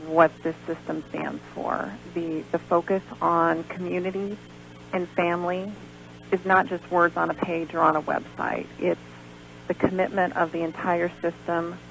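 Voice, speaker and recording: A woman's voice: lowish voice, level tone, unhurried, gravelly. A woman speaking as a guest in an unscripted radio interview over the telephone. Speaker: interview guest, pageant director. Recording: dull, noisy telephone line on radio.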